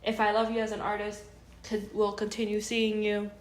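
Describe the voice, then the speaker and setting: A woman's voice: medium pitch, completely flat delivery, normal speed, echoey room, slight background noise, rough recording. A woman in her thirties chatting casually and enthusiastically in a vlog, rambling with many fillers and restarts. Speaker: vlogger.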